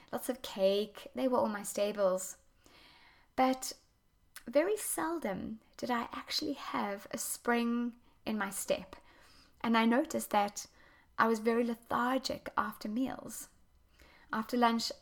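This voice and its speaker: A woman with a slightly higher voice than most hosts her solo podcast as a health coach. Her voice is a little croaky from a recent chest infection. She talks in an earnest, explaining way, walking listeners through her points one by one.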